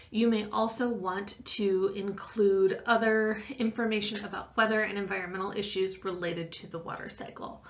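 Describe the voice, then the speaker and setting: A woman's voice: mid-range voice, a bit bright. Woman teacher in a dull, lo-fi recording. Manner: casual, conversational asides. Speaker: science teacher. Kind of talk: explaining an assignment, informal lesson voice-over.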